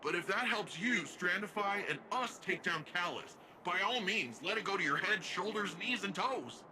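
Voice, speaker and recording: Animated, upbeat young sci-fi hero. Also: male voice, sits high, normal speed, a little hiss or hum, full character voice, rough recording. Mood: warm, admiring. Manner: slangy, playful, chatty. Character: brash, upbeat, joking young futuristic guardian hero. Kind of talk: video game voice acting.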